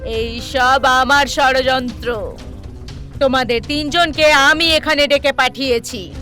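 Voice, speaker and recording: A cruel mother bent on human sacrifice , acted by a woman, with a very high, piercing voice. She draws her words out slowly and theatrically, full of menace, as in a horror cartoon. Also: steady tone, bright, forceful tone, soft background music, heavily acted. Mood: gloating menace. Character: cruel scheming mother plotting human sacrifice to cure son. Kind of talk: animated horror story acting.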